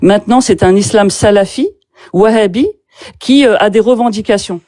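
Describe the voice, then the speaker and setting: Mellow-voiced woman, halting and searching. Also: mid-range voice, no echo, clean studio sound. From France. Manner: hesitant, with many fillers and restarts. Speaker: interviewer. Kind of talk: pointed questioning in a street debate.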